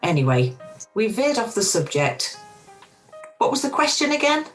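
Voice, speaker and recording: A woman playing a warm but self-doubting middle-aged restaurant owner in an amateur radio play, recorded over a video call. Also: on the high side, sing-song, normal speed, soft background music. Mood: anxious.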